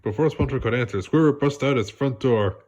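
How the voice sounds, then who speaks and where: A man's voice: medium pitch, quick pace, a little mellow, lo-fi sound. A man narrating a storybook read-aloud with a congested, stuffy voice. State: congested, coughing.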